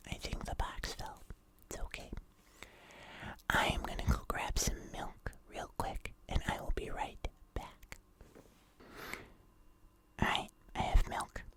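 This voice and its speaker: An ASMR creator whispering softly and unhurriedly in a breathy, unvoiced voice, chatting casually close to the microphone during a food tasting. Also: takes it slowly, pauses often.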